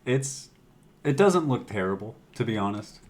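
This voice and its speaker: A man's voice: fairly low pitch, animated, moderate pace, a little mellow. A man co-hosting a casual movie podcast, making brief, understated remarks. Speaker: podcast co-host.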